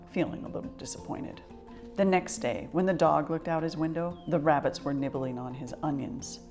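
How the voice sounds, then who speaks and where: Animated woman reading a children's story. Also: low voice. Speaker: storybook reader. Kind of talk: expressive children's story narration.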